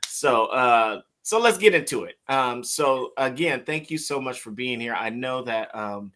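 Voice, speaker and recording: A man's voice: mid-range voice, lively intonation, measured pace, pushed and bright. A man hosting an interview show, talking in a laid-back, rambling, self-deprecating way into a studio mic. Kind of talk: casual interview chat. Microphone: studio mic with mixer.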